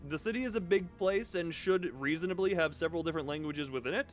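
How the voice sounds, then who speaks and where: A man's voice: fairly high pitch, fast talker. A man livestreaming a game, commenting casually and thinking aloud in a rambling way as he goes. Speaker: game streamer. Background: game music.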